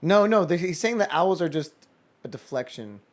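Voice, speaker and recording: A man's voice: a little high, sing-song, moderate pace. A man in casual, joking conversation as a podcast panelist.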